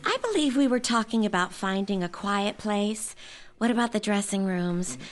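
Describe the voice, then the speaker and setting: A woman's voice: mid-range voice, expressive, normal speed, close to an everyday voice. An actress playing a flirtatious, insistent woman with a coy, suggestive manner in an audio drama. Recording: noise and ambience behind.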